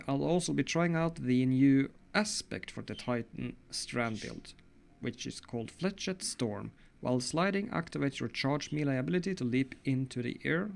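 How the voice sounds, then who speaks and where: A man's voice: mid-range voice, creaky. A young man with a Norwegian accent giving chatty gameplay commentary as host of his gaming channel, often correcting himself mid-sentence. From Norway. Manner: chatty, walks through game loadout with frequent self-corrections.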